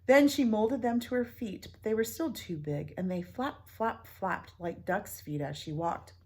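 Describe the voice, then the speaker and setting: A woman teacher reading a picture book aloud to children, expressive, pausing for soft whispered asides. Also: mid-range voice, breathy edge.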